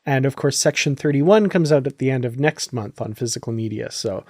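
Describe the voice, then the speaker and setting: A man's voice: medium pitch, a little fast, darkish tone, no echo, studio quality. A man hosting a podcast in a casual, conversational style. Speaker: podcast host.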